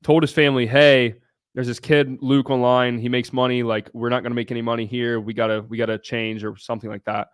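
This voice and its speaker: A man's voice: medium pitch, flat delivery, a little fast, clear, resonant tone, no room sound, clean studio sound. A young man from Southern Ohio hosting a casual livestream, answering viewers' questions in a brash, blunt way and swearing freely. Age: young adult. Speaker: livestream host.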